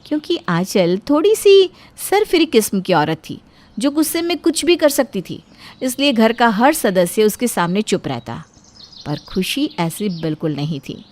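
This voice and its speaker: A woman giving scripted narration as a storyteller. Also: medium pitch.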